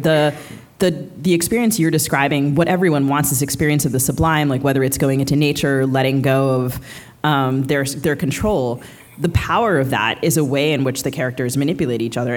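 A woman's voice: a bass voice, darkish tone. A woman co-hosting a live literary podcast interview, speaking in a thoughtful, discursive way. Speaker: podcast co-host. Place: live on stage at a book fair.

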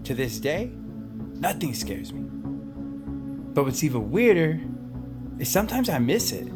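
A fearless, colloquial coworker in an audio drama. He speaks earnestly in a fairly high, expressive voice over a music bed. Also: measured pace. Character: casual, fearless coworker confiding a childhood story. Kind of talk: audio drama dialogue, storytelling.

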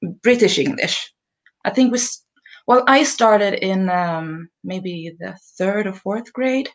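A woman's voice: mid-range voice, slow. A woman with a Swedish accent talks over a video call in a modest, giggly way. Her voice sits in an ordinary middle range. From Sweden. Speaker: guest. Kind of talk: casual video-call chat.

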